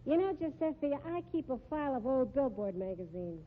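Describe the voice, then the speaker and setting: A woman's voice: sits high, very animated, brisk. An actress playing a sly, sarcastic circus fat lady with an American accent and a drawling, slangy manner, in 1950s radio drama style. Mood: sly, insinuating. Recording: tinny, hissy old radio recording.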